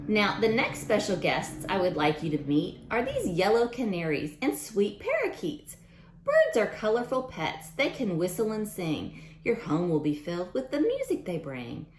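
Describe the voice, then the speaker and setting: A woman reading a picture book aloud to young children in an expressive way, adding chatty asides directly to her listeners. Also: lowish voice, roomy sound, a little hiss or hum.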